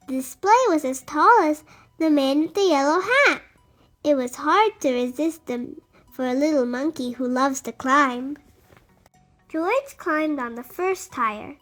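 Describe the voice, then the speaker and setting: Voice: sits high, a bit bright. A storybook reader narrating a picture book expressively for young children.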